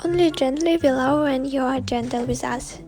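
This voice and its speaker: A woman's voice: sits high, moderate pace. A woman performing a dramatic comic dub as narrator and voice actor. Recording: music behind the voice.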